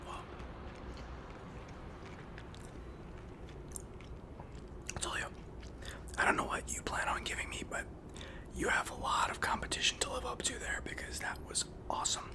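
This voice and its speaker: A man playing a friendly, awkward, down-on-his-luck barber on the run, rambling haltingly in an ASMR roleplay with a breathy whisper. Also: natural voice. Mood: contented, chatty. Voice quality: breathy whisper with some fry.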